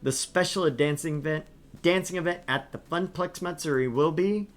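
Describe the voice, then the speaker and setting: A man hosting a let's play, reading a visual novel aloud with lively, animated delivery and joking asides. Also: fairly high pitch, normal speed.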